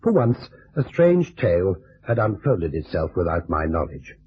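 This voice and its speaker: Muffled old radio, male chief narrating, husky, lively intonation, formal, wry, pompous. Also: low voice, moderate pace, full character voice. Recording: dull, band-limited vintage radio recording.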